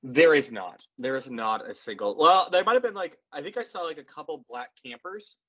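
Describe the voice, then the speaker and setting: A man's voice: on the high side, animated, a little fast, fairly bright tone. A man of thirty co-hosting a podcast, with dry, self-deprecating and crude humor. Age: 30s. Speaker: podcast co-host. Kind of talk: casual banter. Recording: dull lo-fi podcast audio.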